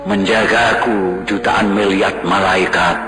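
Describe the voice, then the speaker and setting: A man giving a solemn, contemplative poetry recital in a slow, devotional manner. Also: low voice, steady tone. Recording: roomy echo with music clearly underneath.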